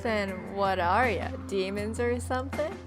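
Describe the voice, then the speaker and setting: A woman's voice: medium pitch, unhurried, over music. A woman hosting a gaming video, giving flippant, sarcastic commentary and improvised replies to characters.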